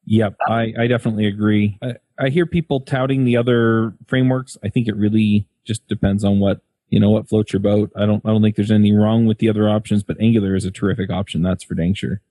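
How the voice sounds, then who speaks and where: A man's voice: on the low side, fairly even intonation, quick pace, hardly any pauses, dark tone, no room sound. A man hosting an interview podcast, talking casually and using colloquial, folksy phrasing. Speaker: podcast host. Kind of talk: casual podcast interviewing and scripted sponsor read.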